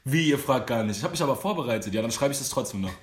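A man's voice: mid-range voice, very fast. Joking German man in a roomy space. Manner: joking, animated, slangy, laughs often. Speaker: podcast host. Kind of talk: casual chat. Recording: roomy echo.

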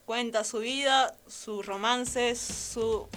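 A woman's voice: a little high, fairly even intonation, extremely slow, a bit bright. A young adult woman with an Argentine accent, nervous and easily flustered, presenting an informal radio column on film and series and correcting herself as she goes. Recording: music playing behind the voice. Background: music.

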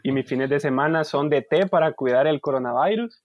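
Hombre hondureño animado, sonido seco. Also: male voice, fairly high pitch, fairly quick, dry recording, clean studio sound. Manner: animated, acts out quotes. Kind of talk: casual group chat.

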